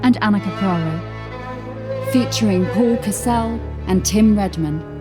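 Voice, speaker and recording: A woman's voice: mid-range voice, very animated, slow pace, music in the background. A woman reading scripted opening credits as the announcer of an audio drama. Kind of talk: scripted narration.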